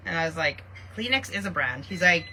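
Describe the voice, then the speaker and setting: A woman's voice: low voice, lively intonation, brisk, pushed and bright, slight background noise, low-quality recording. A Canadian woman with a Canadian accent, co-hosting a podcast and chatting in a rambling way full of fillers. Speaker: podcast co-host. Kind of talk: casual chat.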